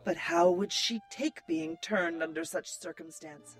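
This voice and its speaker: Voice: medium pitch, a little slow, faint music behind, lightly acted. A performer playing a solemn audiobook narrator, reading a vampire romance in dramatic scripted style.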